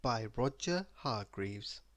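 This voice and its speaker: A woman reading a children's story aloud, expressive and deliberate, with dramatic emphasis. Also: rumbling low. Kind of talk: children's read-aloud narration.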